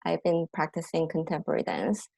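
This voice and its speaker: A woman's voice: sits low, sing-song, brisk, vocal fry, mellow, rough recording. A woman in her fifties from South Korea with a Korean accent, talking in a casual interview, thoughtful, with many fillers and restarts. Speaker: massage therapist and dancer.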